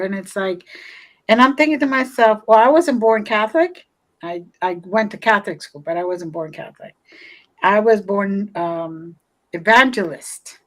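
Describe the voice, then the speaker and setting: A woman's voice: medium pitch, poor audio. Cuban-born woman in her sixties. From Cuba. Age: 60s. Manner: rambling, storytelling. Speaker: host. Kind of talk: casual interview chat.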